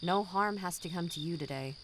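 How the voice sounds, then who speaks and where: A woman's voice: fairly low pitch, brisk, background noise, lightly acted. A woman playing a cold, condescending company boss in an audio drama, formal, smug and menacing. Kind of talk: scripted audio drama acting.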